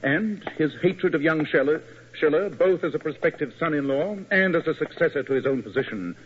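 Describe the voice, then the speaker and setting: An actor playing a composed, brisk detective, speaking in crisp, clipped 1940s radio acting. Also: male voice, a little high, animated, slight background noise, lightly acted. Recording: dull old radio broadcast.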